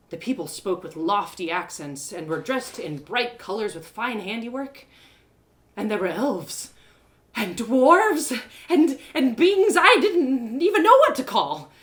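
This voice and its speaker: A woman reading a first-person fantasy story as an expressive, descriptive storyteller. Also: a little high.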